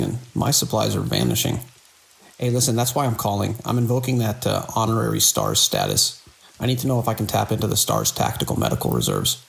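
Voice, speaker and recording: Guy acting as worn out hospital director in an audio drama, super gravelly voice, serious n measured. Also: mid-range voice, full character voice. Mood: wry, urgent. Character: weary, dedicated male hospital director under mounting strain. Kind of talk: audio drama acting, recorded log entries and phone call.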